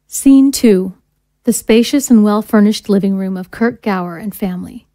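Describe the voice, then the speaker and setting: A woman's voice: mid-range voice, moderate pace, mellow. A woman reading scene directions as scripted narration for an audio drama.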